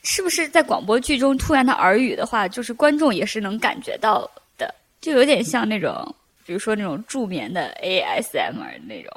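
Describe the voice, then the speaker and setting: A woman's voice: mid-range voice, sing-song, fairly quick. A woman hosting a podcast in a casual, chatty style, asking questions and reacting. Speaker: podcast host. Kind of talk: casual chat.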